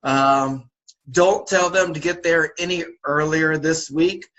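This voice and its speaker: A man with a sore throat, sniffling a little, briefing volunteer youth basketball coaches over a video call in a relaxed, repetitive way with many fillers. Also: on the high side, slow pace, low-quality recording. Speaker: youth basketball league organizer.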